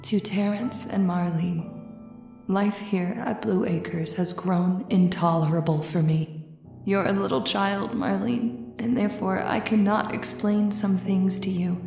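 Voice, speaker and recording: A regretful yet resolute mother played by a woman voices a farewell letter in an old-time radio play. Her delivery is measured and formal, medium in pitch, over light music. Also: steady tone, vocal fry, a little mellow, echoey room, recorded from a distance.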